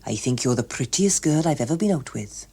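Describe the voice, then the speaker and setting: A woman's voice: rumbling low, expressive, fairly quick, mellow, breathy edge, voice pushed down, full character voice. A woman voicing an earnest, lovesick, self-pitying man with a hesitant, pleading manner in an audiobook. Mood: earnest, wooing.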